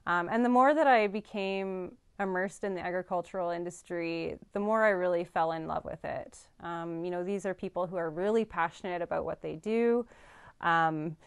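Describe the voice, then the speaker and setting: Young woman vet, kinda low voice, super clear, lots of ums, studio chat. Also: moderate pace. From Canada. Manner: earnest, thoughtful, many ums and restarts. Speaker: farm veterinarian. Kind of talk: interview answers. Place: studio with dark backdrop.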